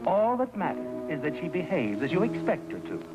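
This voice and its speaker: A man's voice: fairly high pitch, sing-song, fairly quick, rough recording. An actor playing a sinister, all-knowing male narrator who tauntingly addresses a guilty schemer in a 1950s suspense show. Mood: knowing, ominous. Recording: 1950s TV soundtrack, loud music behind.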